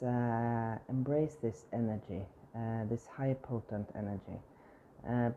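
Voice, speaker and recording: A man's voice: mid-range voice, dark tone, highs cut off. A man giving a tarot reading with a scratchy, strained voice, hesitant and full of fillers and restarts. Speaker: tarot reader.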